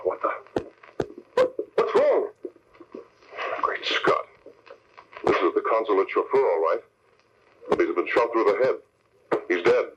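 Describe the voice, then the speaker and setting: An actor playing a resolute newspaper boss and secret crime-fighter, brisk and earnest, in an old radio drama. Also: male voice, low voice, lively intonation. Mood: urgent, tense. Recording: muffled, noisy old radio recording.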